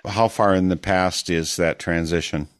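A man hosting a radio interview, asking measured, curious questions. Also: deep voice, fairly even intonation, clear, resonant tone, dry recording. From United States. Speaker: radio host.

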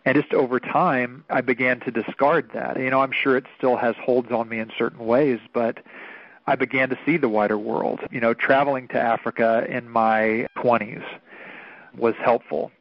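Minister, late thirties, from Oklahoma; earnest, reflective interview guest, dull radio sound. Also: male voice, medium pitch, fairly even intonation, dry recording. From Oklahoma, United States. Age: 30s. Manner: earnest, thoughtful, measured storytelling.

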